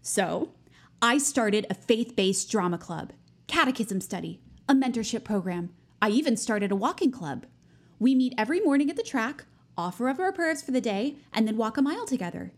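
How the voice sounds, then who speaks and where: High-pitched, pushy teenage schoolgirl. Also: very animated, normal speed. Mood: smug, chatty. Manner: chirpy, pushy, self-important. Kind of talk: naturalistic audio drama acting.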